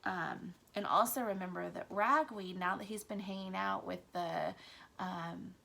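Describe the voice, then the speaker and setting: A woman with a bright, forward voice that often creaks at the edges. She reads a children's story aloud as a teacher, at a middle pitch. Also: a little slow. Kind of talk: children's book read-aloud.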